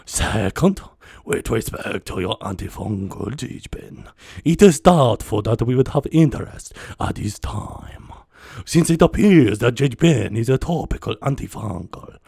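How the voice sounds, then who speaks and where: A man's voice: medium pitch, expressive, unhurried, gravelly, dark tone, breathy edge, dry recording, clean studio sound, full character voice. A man voicing a pompous, scheming alien trade viceroy with a stilted, formal, easily flustered delivery, in a sci-fi film style. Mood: officious.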